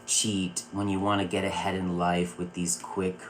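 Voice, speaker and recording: A man from Virginia hosting a spiritual live stream, talking earnestly and calming his viewers with a repeated "okay". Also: deep voice, moderate pace, slight background noise. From Virginia, USA. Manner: earnest, repeats words and restarts phrases. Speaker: psychic circle host. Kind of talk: live-stream spiritual talk answering chat.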